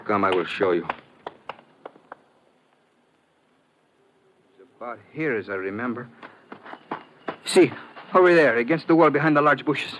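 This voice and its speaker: Man acting a beaten, cornered mexican guy confessing, talks fast, old radio play w/ some hiss. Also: mid-range voice, fairly bright tone, close to an everyday voice. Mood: defeated. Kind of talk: 1950s radio drama acting. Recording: noisy old radio drama recording.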